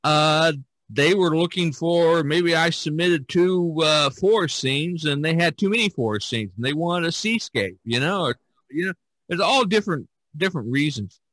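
A man's voice: a little high, expressive, normal speed, fairly bright tone, no echo, low-quality recording. A man hosting a casual podcast discussion, telling his story in a rambling, emphatic way. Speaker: podcast host and artist.

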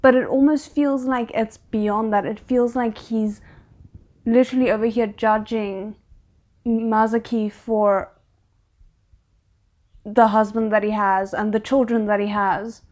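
Young woman giving candid reactions, higher voice. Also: steady tone, measured pace, pauses often, a little mellow. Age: 20s. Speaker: reaction video host. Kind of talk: casual spoken reaction commentary. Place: small bedroom indoors.

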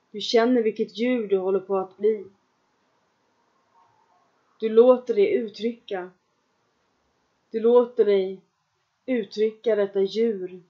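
A woman with a mid-range voice guides listeners through a trance meditation. She speaks in calm, measured second-person instructions and leaves long pauses between lines. The recording sounds dull and lo-fi. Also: medium pitch.

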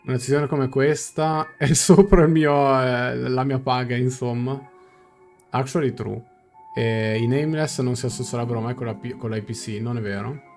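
A man's voice: medium pitch, fairly even intonation, normal speed, darkish tone. A young man casually translating game dialogue aloud and musing as he goes, in a live reaction stream. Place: small indoor room. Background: game music.

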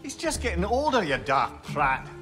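An actor playing a witty, irritable severed head in a video game, with a Scottish accent and a cheeky, foul-mouthed manner. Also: male voice, high voice, sing-song, normal speed, over music. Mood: wry. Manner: sardonic, cheeky, swearing freely.

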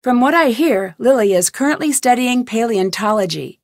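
A woman's voice: a little high, expressive, normal speed, dry recording, clean studio sound. An actress voicing a wry young woman detective in scripted game narration. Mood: wrapping up.

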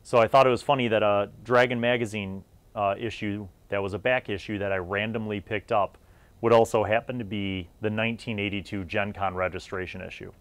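A man's voice: fairly low pitch, steady tone, fairly quick, no echo. A middle-aged man hosting a video series, talking to viewers in a conversational, emphatic way with trailing asides. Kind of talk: retrospective review talk to viewers.

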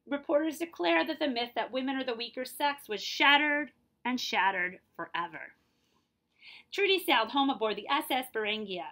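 Animated, bright-voiced woman reading aloud. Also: high-pitched. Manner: expressive, explanatory, checking in with listeners. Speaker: librarian reading a picture book aloud. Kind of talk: animated storybook read-aloud with explanatory asides.

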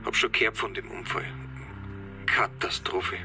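An actor playing an earnest, defensive farm safety inspector with a Bavarian accent, explaining insistently in a radio drama. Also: male voice, deep voice, fairly even intonation, measured pace, vocal fry, music in the background. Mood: shocked, earnest. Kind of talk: naturalistic radio drama dialogue.

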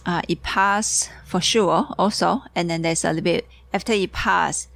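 A tired woman leads a tea tasting, halting and searching for words. Her voice sits low with a gravelly edge. The recording is dry and close, with no room sound. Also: a bit bright, dry recording. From Taiwan. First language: Taiwanese. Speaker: tea teacher.

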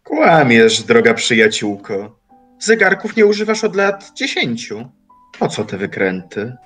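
An actor playing a calm, mocking old watchmaker and philosopher in an audio drama, speaking in deliberate, formal phrases. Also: male voice, medium pitch, breathy edge, faint music behind, full character voice. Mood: mocking, knowing. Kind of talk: audio drama acting, literary dialogue.

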